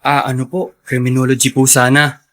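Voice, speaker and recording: A man's voice: medium pitch, level tone, moderate pace, a bit bright, lightly acted. An actor playing a humble, polite young male helper who speaks hesitantly, in a radio drama. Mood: shy, hopeful. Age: young adult.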